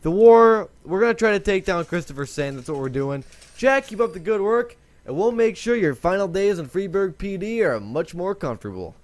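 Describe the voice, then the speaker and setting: A young man hosting a casual gaming video, rambling and chatty as he corrects himself, with a voice he says is lower than usual today. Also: animated, moderate pace, no echo. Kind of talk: casual let's-play commentary.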